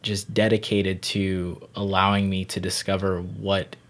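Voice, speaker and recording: A man's voice: low voice, flat delivery. A man in his thirties from Barbados, a yoga teacher talking unhurriedly and thoughtfully as a podcast guest. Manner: unhurried, thoughtful, frequent "you know". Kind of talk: reflective podcast interview.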